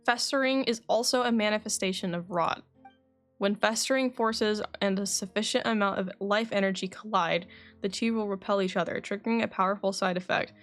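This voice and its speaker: A woman's voice: medium pitch, fairly quick, soft background music. A woman streaming a game, reading its dialogue aloud and adding casual commentary, correcting herself as she goes. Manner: self-correcting as she reads. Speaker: game livestreamer.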